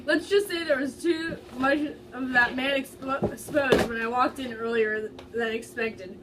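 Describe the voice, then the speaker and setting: A woman's voice: sits high, normal speed, natural voice. A woman reading the part of a sassy, complaining, clueless male singer in a fan fiction story. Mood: sassy, indignant. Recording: distant mic, faint background noise.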